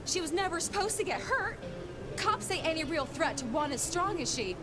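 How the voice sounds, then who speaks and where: A high-pitched Southern belle socialite, with an American Southern accent and folksy, theatrical phrasing. She sounds like an obsessively devoted devotee in a video game. Loud music plays underneath. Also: very animated, moderate pace. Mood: defensive, wistful. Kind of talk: dramatic video game voice acting.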